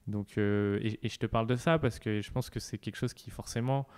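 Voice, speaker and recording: A man's voice: on the low side, fairly even intonation, brisk, a little mellow, clear, resonant tone. A man from France in his thirties, a podcast guest chatting casually and hesitantly, with many fillers and restarts. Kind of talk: casual interview chat.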